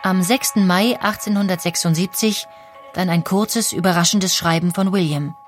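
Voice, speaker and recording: A woman's voice: on the low side, normal speed, faint music behind. A woman narrating a historical audio documentary from a script in a factual, articulate manner.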